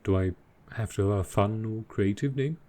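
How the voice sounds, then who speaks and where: A man playing a gentle, earnest dragon boyfriend in an intimate roleplay audio, musing softly and naively. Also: fairly low pitch, normal speed, natural voice. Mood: curious, delighted.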